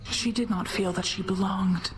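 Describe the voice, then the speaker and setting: An actress playing a solemn, guarded, motherly goddess of night in a video game, formal and measured. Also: mid-range voice, fairly even intonation, lightly acted.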